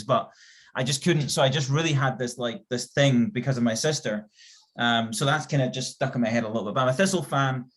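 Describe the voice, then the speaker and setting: A man chats easily on a podcast, full of fillers and quick asides. His voice sits at an ordinary middle pitch. He sounds like a regular co-host at ease. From Scotland. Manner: chatty, fast-shifting storytelling with fillers. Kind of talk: casual chat.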